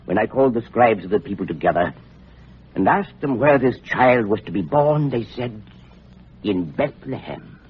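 An actor playing a suspicious, treacherous king in an old radio drama, husky and grandiose. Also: mid-range voice, animated, moderate pace. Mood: suspicious, scheming. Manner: grandiose, paranoid, theatrical. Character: suspicious, treacherous Judean king, brooding and menacing. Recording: muffled old radio recording, music behind.

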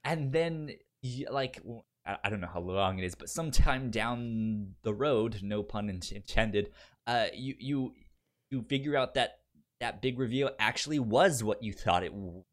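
A man co-hosting a casual film discussion, halting as he goes, with a stutter that repeats sounds and words. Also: mid-range voice. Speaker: podcast co-host.

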